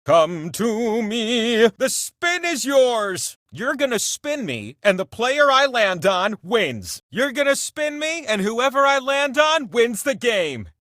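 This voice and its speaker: A man's voice: very high-pitched, very animated, slow pace, hardly any pauses, fairly bright tone, dry recording, studio quality, exaggerated voice. A man voicing a giant talking prize wheel in a video game, a grandiose, teasing host who slips between proclamations and chatty asides. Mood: dramatic. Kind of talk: theatrical video game voice-over.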